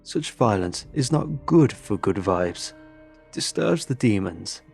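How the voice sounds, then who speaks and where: A man's voice: lowish voice, sing-song, slow pace, creaky, a little mellow, breathy edge, soft background music, exaggerated voice. Man playing a genial, tipsy foreign drinking buddy. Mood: playful scolding.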